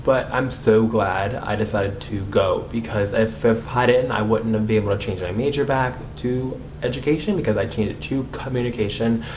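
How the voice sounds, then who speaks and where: Young man who stutters, low voice. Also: normal speed, few pauses, a little mellow, slight background noise, low-quality recording. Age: young adult. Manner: stutters, with repeated starts and blocks on words. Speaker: vlogger. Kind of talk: casual chat to camera.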